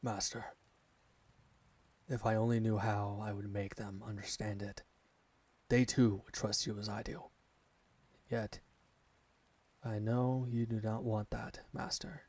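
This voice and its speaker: A man's voice: fairly low pitch, level tone, darkish tone, breathy edge. A man playing a devoted alpha werewolf servant in an ASMR roleplay, speaking formally and earnestly to his master. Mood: devoted, reverent. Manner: formal, measured, reverent address to a master.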